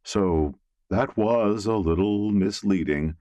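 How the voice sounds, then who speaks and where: A man's voice: fairly low pitch, slow pace, warm tone, no echo. A man playing an amiable, slightly awkward middle-aged man in an audiobook, easygoing and mildly sheepish.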